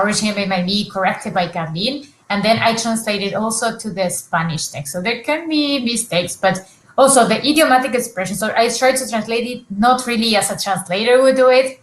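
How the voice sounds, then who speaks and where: A woman's voice: medium pitch, roomy sound, a little hiss or hum, rough recording. A woman presenting research at an academic conference, explaining examples step by step with frequent fillers and restarts over a video call.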